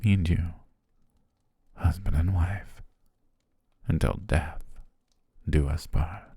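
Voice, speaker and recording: A man playing a doting, obsessive butler and softly menacing fiancé in intimate ASMR roleplay, tender, measured and coaxing, close to the microphone. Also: a bass voice, no room sound, heavily acted. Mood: dreamy devoted. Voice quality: breathy, husky, gravelly, often near whisper. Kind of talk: intimate ASMR roleplay, slow whispery romance acting. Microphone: close, dry studio microphone.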